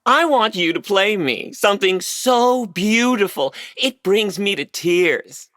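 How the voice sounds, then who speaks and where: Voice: medium pitch, expressive, slow pace, fairly bright tone, dry recording, clean studio sound. An actor playing a menacing, haughty party official in an audio play, with a pompous, theatrical and mocking delivery. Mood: imperious.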